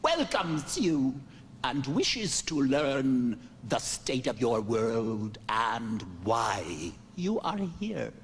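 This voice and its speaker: An actor playing a polite, ceremonious alien guide on the moon in a radio drama, with formal, measured speech. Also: male voice, mid-range voice, very animated, a little hiss or hum, full character voice. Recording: long hall reverb, music behind.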